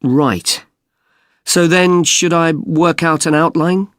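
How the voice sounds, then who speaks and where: A man's voice: on the high side, steady tone, slow pace, a bit bright, no echo, studio quality. A man playing an attentive student who politely seeks guidance in a scripted listening-test dialogue. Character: attentive male student seeking guidance from tutor.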